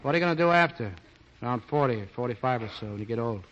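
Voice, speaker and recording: An actor playing a blunt, slangy police officer with a soft heart, in 1940s radio drama style. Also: male voice, medium pitch, lively intonation, rapid-fire, close to an everyday voice. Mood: blunt, probing. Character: plain-spoken, soft-hearted tough male cop. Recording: muffled 1940 radio broadcast.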